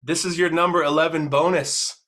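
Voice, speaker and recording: A man's voice: a little high, steady tone, fairly quick, fairly bright tone. In a rich tone: an upbeat man in his thirties co-hosting a casual chat. He gives emphatic advice in a slightly raised voice. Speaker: realtor and co-host.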